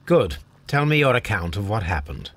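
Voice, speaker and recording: An actor playing a composed, courteous Victorian gentleman detective with an English accent, questioning politely in a video game. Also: fairly low pitch, very animated, moderate pace, exaggerated voice. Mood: calm, authoritative. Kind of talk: scripted video game acting.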